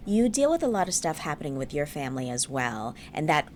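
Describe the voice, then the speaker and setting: A woman's voice: low-pitched, very animated, a little fast, a little mellow, a little hiss or hum. A woman hosting a studio interview show, speaking in an encouraging manner. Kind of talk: studio interview with scripted introduction.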